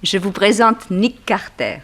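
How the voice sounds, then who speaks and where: An actress playing a gentle, reassuring helper of a detective in an early sixties radio drama, soft and soothing. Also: female voice, mid-range voice, a little slow.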